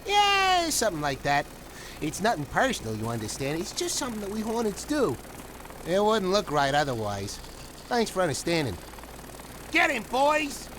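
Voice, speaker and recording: A man voicing a smug, sneering hornet henchman in an animated game, taunting and mock-friendly. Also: high voice, moderate pace, a little hiss or hum, full character voice. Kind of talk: animated video game villain dialogue.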